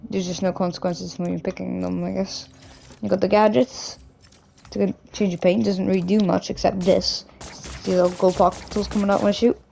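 A man with a hoarse, croaky voice from a cough, casually rambling through gameplay commentary as a gaming YouTuber. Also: sits high, little pitch movement, moderate pace, warm tone, soft background music. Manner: rambling, loose, with many restarts and "like".

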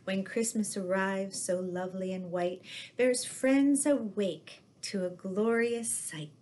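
A middle-aged woman reading children's picture books aloud as a storyteller, in an expressive, measured way. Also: medium pitch. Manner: slow, expressive, measured read-aloud delivery. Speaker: storyteller in costume as Mrs. Claus. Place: living room with fireplace, indoors.

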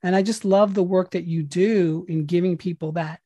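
A man's voice: sits high, fairly even intonation, normal speed, dark tone, husky, dry recording, lo-fi sound. Man, podcast host, earnest, reflective, dry close sound. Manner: earnest, reflective, repeats phrases for emphasis. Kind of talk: interview and outro.

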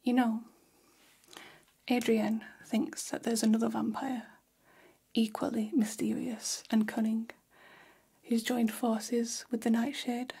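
Woman playing a slow-whispering gossipy vampire hairdresser. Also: a little high, level tone, full character voice. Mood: conspiratorial, intrigued. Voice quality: breathy, soft whisper. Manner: slow, hushed, conspiratorial, indulgent. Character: gossipy, flattering female vampire hair stylist. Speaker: ASMR role-play performer. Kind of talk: whispered ASMR role-play. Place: small decorated indoor room.

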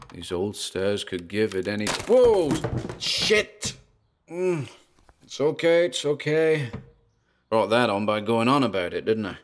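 A man playing a nervous, obsessive paranormal investigator who mutters a halting running commentary into a recorder in a found-footage horror audio drama. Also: fairly low pitch, slight background noise. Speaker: voice actor.

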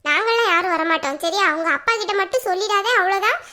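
Woman doing an annoyed young mom in a family drama, super high sharp voice, blunt and scolding. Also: quick pace. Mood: irritated, scolding. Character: exasperated young mother, scolding and threatening her little daughter.

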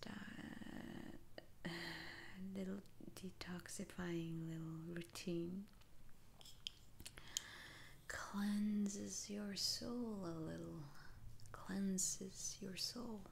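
A woman whispering in a breathy, halting, gently reassuring way, roleplaying a soothing witch doctor in an ASMR style. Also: fairly low pitch, a little hiss or hum. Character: soothing, unhurried female witch doctor lifting curses. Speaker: ASMR performer.

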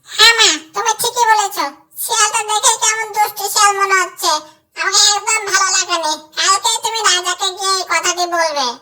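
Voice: very high voice, steady tone, fairly quick, pushed and bright, exaggerated voice. A performer voicing a tiny, timid baby bird in a children's cartoon. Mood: uneasy.

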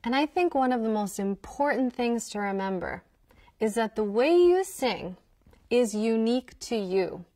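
An enthusiastic woman tells stories to young listeners, her voice sitting a little high. The sound is dry and a touch distant from the mic, recorded in a small studio. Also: expressive, measured pace, dry recording. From Queens, New York. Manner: warm, enthusiastic, storytelling. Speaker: folk musician presenting to children. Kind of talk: scripted educational talk to young listeners. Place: indoor studio with wooden wall backdrop.

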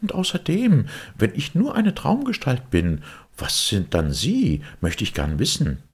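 A sensible, anxious little girl in a storybook, played by a man. The voice is pitched high and very husky, with lively swings, polite and earnest. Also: measured pace, heavily acted. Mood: indignant.

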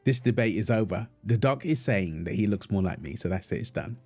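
A man playing a calm, teasing, reassuring young husband and father in a natural, intimate audio roleplay, talking gently to his partner and baby. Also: lowish voice, a little fast, soft background music. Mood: friendly, amused.

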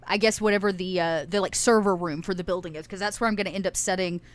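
A woman's voice: on the low side, steady tone, fast. A woman playing a tabletop game in casual roleplay, with a hesitant, stop-start delivery.

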